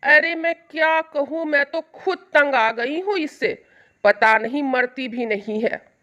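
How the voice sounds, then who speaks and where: A woman playing a cruel, greedy middle-aged mother-in-law in a moral storybook tale, shrill, nagging and accusing. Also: slow, bright, forceful tone, lo-fi sound, exaggerated voice. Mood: bitter scheming. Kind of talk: Hindi moral storybook acting.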